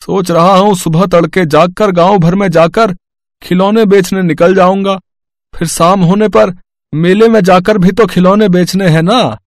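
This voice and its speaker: A man's voice: high-pitched, steady tone, measured pace, dry recording, clean studio sound. A man playing a poor, hopeful, hardworking village toy-maker in an earnest, humble way for a moral-story cartoon.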